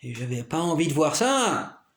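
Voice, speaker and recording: A man's voice: on the high side, big pitch swings, moderate pace. Rambling man in an echoey room. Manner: rambling, repeats himself, keeps asking "you understand?". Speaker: vlogger. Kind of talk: rambling monologue.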